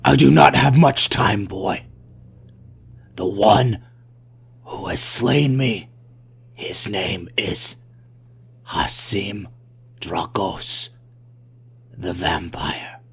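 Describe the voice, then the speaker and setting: A man voicing a grave, dying old vampire hunter who speaks haltingly in a strained, rasping whisper. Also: on the low side, heavily acted. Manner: slow, halting, strained delivery. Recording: background music under the voice.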